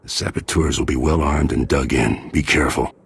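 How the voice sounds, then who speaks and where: A stern older lord in a video game drama. His voice is extremely low and full of gravel, with a heavy husk to it. He speaks as a worried leader of his house. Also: a little fast, heavily acted. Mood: grim urgency. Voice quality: very deep, gravelly, husky.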